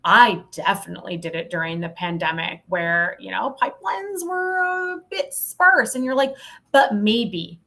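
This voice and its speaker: A woman's voice: mid-range voice, measured pace, vocal fry, bright, forceful tone. A woman speaking as a podcast guest in a casual interview, giving candid opinions with emphasis. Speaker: podcast guest, sales professional.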